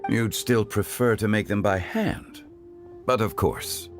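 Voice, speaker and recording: An actor playing a calm, courteous mature gentleman in a video game, speaking in a measured, formal way. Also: lowish voice, music in the background. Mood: composed. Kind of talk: video game character dialogue.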